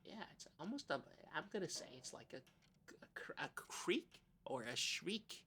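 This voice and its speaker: A woman playing a nervous, earnest small-town co-host who is husky, hesitant, stammering and unsure. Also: low voice, sing-song, unhurried, pushed and bright, slight background noise, full character voice. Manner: hesitant, stammering, self-doubting. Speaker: co-host of a comedy news podcast. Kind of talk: improvised mock local public-access news chat.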